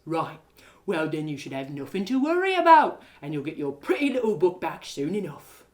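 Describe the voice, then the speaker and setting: A woman's voice: deep voice, sing-song, normal speed. A woman voicing a rude, curt male prison guard in an audio drama. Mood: stern, accusing. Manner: curt, sneering, commanding.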